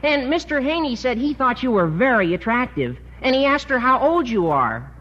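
A man's voice: very high-pitched, sing-song, moderate pace, bright, forceful tone, full character voice. A chatty gossipy teenage boy. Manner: chatty, hesitant, says uh a lot. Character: eager, gossipy teenage schoolboy. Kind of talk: 1950s radio sitcom acting. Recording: muffled old radio broadcast.

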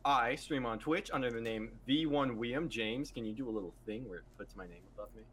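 A man's voice: mid-range voice, fairly quick, fairly bright tone, slight background noise. A young man telling brash stories in a casual podcast chat and cursing freely. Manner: crude, brash storytelling. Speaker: podcast co-host. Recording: clear crowd chatter behind.

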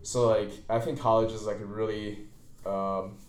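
A young man hosts a relaxed student podcast, talking informally and earnestly as if giving advice. The room around him is noticeably echoey. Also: fairly low pitch, normal speed, a crowd in the background. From China. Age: young adult. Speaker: podcast host, college student. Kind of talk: casual podcast chat. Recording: roomy echo.

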